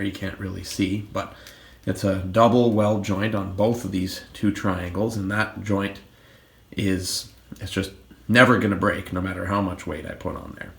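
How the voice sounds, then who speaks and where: A man's voice: lowish voice, creaky. A man with a cold and a congested voice, hosting a casual walkthrough of his home gym equipment and explaining it plainly. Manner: plain, explanatory, pointing things out. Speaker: fitness channel host.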